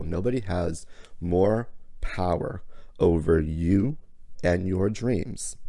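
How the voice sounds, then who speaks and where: A hoarse, raspy man hosts a motivational talk with sassy, theatrical flair, calling the listener honey and darling. His voice sits low and gravelly. The sound is close and dry, with no room around it. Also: warm tone, dry recording. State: hoarse from illness. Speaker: podcast host. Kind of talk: motivational monologue.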